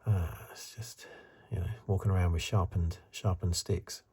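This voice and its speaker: A man giving soft-spoken, half-whispered ASMR commentary in a husky, breathy voice, musing and rambling as he goes. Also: sits low, fairly even intonation, moderate pace. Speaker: ASMR reviewer.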